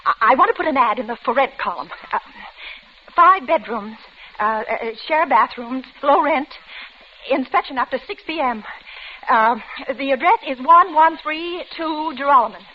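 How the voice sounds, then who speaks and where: An actress playing an anxious, paranoid woman in a 1940s radio suspense drama, intense, theatrical and halting. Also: high-pitched, expressive, highs cut off, slight background noise. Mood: nervous. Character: anxious, increasingly paranoid single woman tormented by imagined intruder. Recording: band-limited old radio recording, loud music.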